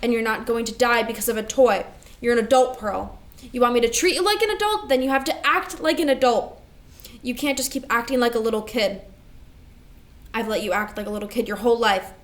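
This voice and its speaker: A woman's voice: a little high, a little fast, natural voice. A woman acting a stern, burdened older sister in a staged play reading. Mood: exasperated. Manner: stern, scolding.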